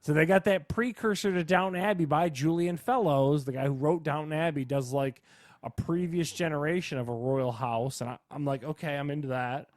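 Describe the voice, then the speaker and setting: Male podcast co-host, fairly high voice, dry close sound, slangy and opinionated casual talk. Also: fairly quick, no room sound. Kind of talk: casual chat.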